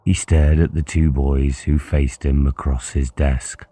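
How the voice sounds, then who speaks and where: A man's voice: a bass voice, little pitch movement, a little slow, dark tone, slightly rough, dry recording. A man narrating a novel as an audiobook, reading scripted literary prose.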